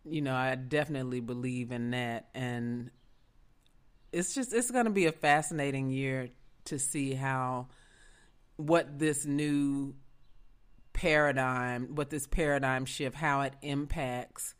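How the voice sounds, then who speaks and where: A woman's voice: a bass voice, takes it slowly, smooth. A woman, from Memphis, hosting an interview in an earnest, enthusiastic way. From Memphis, Tennessee, US. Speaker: podcast host. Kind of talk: conversational interview.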